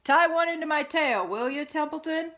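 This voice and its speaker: A woman's voice: sits high, normal speed, bright, forceful tone, voice pushed up. A woman voicing a young male pig in a storybook, eager, naive and boastful, with an earnest, exclamatory way of talking.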